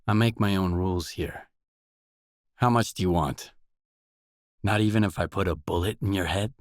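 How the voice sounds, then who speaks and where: A male actor playing a weary, tough, guarded stalker mercenary in a video game, speaking in blunt, deadpan lines. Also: sits low, normal speed, no room sound, studio quality, natural voice. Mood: curt, menacing. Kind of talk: video game voice acting, isolated dialogue lines.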